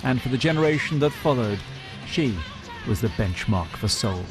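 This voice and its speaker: A man's voice: mid-range voice, animated, normal speed. A British man narrating a scripted television news report as its reporter. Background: music.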